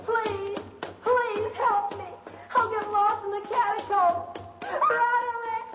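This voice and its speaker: Deep echo and muffled, noisy old radio sound surround a frightened lady. Her very high voice pleads anxiously in melodramatic 1950s horror style. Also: slow pace, a bit bright, faint music behind, full character voice. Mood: terrified, calling out. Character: anxious, frightened lady guest in a gloomy mansion. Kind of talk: 1950s radio drama acting.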